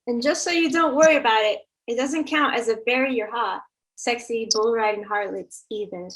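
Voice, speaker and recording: A woman's voice: fairly high pitch, normal speed, fairly bright tone, rough recording. A woman playing a sassy, dismissive sidekick at a musical comedy table read.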